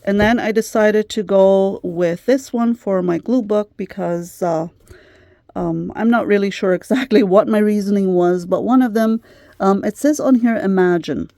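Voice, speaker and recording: Soft-spoken woman, slightly losing her voice. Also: mid-range voice, moderate pace, no room sound, clean studio sound. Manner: gentle, chatty, many fillers. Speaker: craft channel host. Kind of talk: casual craft vlog chat.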